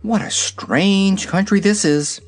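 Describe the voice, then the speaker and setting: Man playing an earnest, high-pitched little girl. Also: slow pace. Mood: amazed. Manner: earnest, exclaiming.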